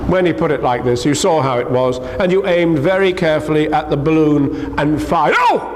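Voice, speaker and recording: Male storyteller, gentle, measured, higher-pitched voice, children's narration, long reverb. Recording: reverberant hall with faint background noise.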